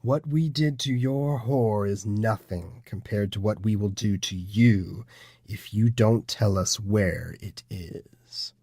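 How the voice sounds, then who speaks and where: A slow, gravelly, menacing interrogator. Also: male voice, fairly low pitch, dark tone, no echo, studio quality, exaggerated voice. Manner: slow, deliberate, formal. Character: menacing male Illuminati interrogator, formal and cold. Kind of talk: full-cast audiobook acting.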